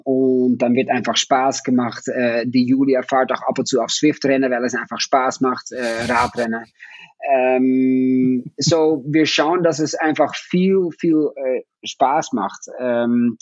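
A man's voice: mid-range voice, steady tone, a little slow, a little mellow, slightly rough, dry recording, dull audio. A Dutch man in his fifties, a native Dutch speaker, talking casually with a Dutch accent, hesitant and full of fillers and restarts. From Netherlands. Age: 50s. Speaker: guest, parent of athletes. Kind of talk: casual conversation.

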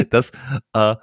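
A man's voice: very high-pitched, husky, dry recording, clean studio sound. A man hosting a podcast, chatting casually with many stammered restarts and laughs. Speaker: podcast host. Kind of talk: casual interview chat.

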